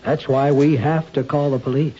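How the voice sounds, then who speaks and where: An actor playing an earnest, protective, questioning husband in a 1970s radio drama. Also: male voice, medium pitch, fairly even intonation, moderate pace, natural voice. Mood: grave, coaxing. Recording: dull radio recording with faint noise.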